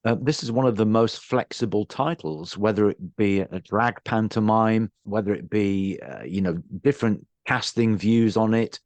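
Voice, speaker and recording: A man's voice: lowish voice, moderate pace, hardly any pauses, darkish tone, no echo. A man hosting a podcast and putting questions to guests in a conversational way. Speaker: podcast host and interviewer.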